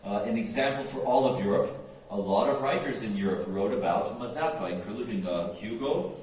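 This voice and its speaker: An earnest American man with a fairly low voice introduces a historic song to a live crowd, pausing often with "uh". He sounds distant from the mic, and the room echoes heavily around him. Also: a little mellow, a little hiss or hum. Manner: earnest, many "uh" pauses, mixing languages. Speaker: torban player and singer. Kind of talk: live talk to an audience before a performance.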